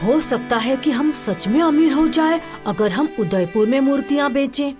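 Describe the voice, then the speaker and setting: A woman playing an earnest, high-voiced young village brother. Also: moderate pace, over music, lightly acted. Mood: hopeful. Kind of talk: animated children's story dialogue.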